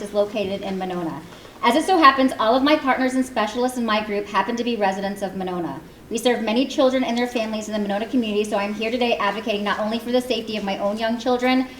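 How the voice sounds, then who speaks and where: A woman, giving a prepared public comment in a loud, earnest voice. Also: on the high side. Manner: fast, earnest, reads prepared remarks. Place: community meeting hall. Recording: echoey hall, faint room noise.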